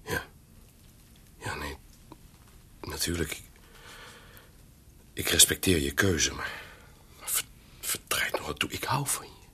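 An actor playing a sincere, tender suitor in a Dutch radio drama, husky and breathy, halting and repeating his words. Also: a bass voice, bright, forceful tone, whispered, exaggerated voice. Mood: pleading.

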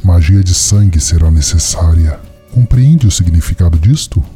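A deep, velvety-dark majestic wolf deity, performed by a man, speaks over faint music. The delivery is formal and commanding, that of an ancient protector in a fantasy tale. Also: animated, moderate pace, husky, exaggerated voice. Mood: grave, commanding. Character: majestic giant she-wolf deity, solemn protector of her people. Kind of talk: dramatized fantasy audio story.

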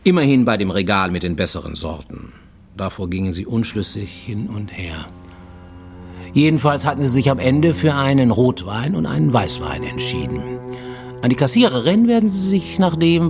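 Low-voiced, wary greying fugitive. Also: male voice. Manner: formal, confiding, insistent, addressing a professor. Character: wary, greying Japanese emigrant man hiding in Mexico. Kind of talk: German radio play monologue. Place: studio radio production.

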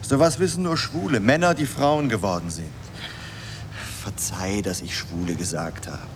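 A man's voice: lowish voice, normal speed, noisy background, natural voice. Actor as a brusque, impatient young man. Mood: pompous, scornful. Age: young adult. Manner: brusque, exasperated, scornful. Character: impatient, coarse, self-important young suitor. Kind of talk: radio drama dialogue.

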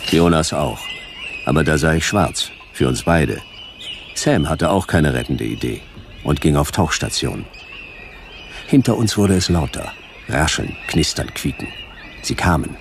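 A man's voice: very deep voice, lively intonation, a little slow, creaky, long pauses, background noise, heavily acted. A man playing a wry, hard-boiled detective who narrates in terse, ironic sentences in a sci-fi radio drama. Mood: grim, laconic.

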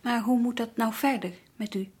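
An actress playing a patient, concerned visitor in a radio drama, speaking politely and attentively with gentle questions. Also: female voice, on the high side, moderate pace, breathy edge. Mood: friendly. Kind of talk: naturalistic radio drama acting.

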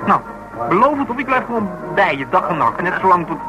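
A man's voice: sits high, sing-song, quick pace, music in the background, poor audio. An actor playing an eager, hot-tempered young man, casual and boyish, in a naturalistic radio drama. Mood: insistent. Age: young adult.

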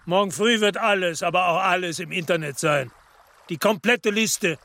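An actor playing a defiant, cornered man facing down a killer in a radio drama, speaking deliberately and emphatically. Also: high-pitched, gravelly, bright, forceful tone, no room sound, heavily acted.

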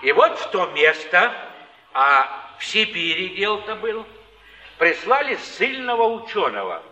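A kindly, sly old wanderer in a stage drama, his voice high and swooping. He soothes and coaxes with folksy repeated phrases, like a born storyteller. The sound is distant, in a big reverberant hall. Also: big pitch swings, measured pace, pushed and bright, full character voice. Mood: earnest storytelling. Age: older. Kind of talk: mid-century Russian stage acting. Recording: echoey theatre stage, distant, dull archive sound.

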